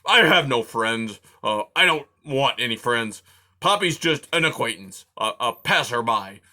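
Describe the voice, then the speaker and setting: A man voicing a grumpy, complaining male porcupine who snarls and shouts in loud outbursts. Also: medium pitch, animated, unhurried. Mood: grumbling.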